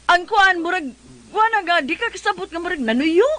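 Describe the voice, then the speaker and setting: A woman's voice: very high-pitched, lively intonation, moderate pace, bright, forceful tone. A woman co-hosting on radio in chat and live sponsor reads. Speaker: radio host.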